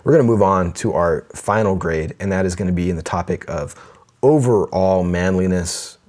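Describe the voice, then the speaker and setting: A man's voice: low-pitched, normal speed, a little mellow. A man co-hosting a casual podcast, chatting with enthusiasm, laughing often and reading listener letters aloud. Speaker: podcast co-host.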